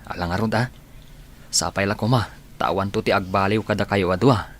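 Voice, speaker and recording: A man's voice: fairly low pitch, a little fast, a little hiss or hum, natural voice. A man playing an easygoing, frank young friend in an Ilocano radio drama. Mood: questioning, advising.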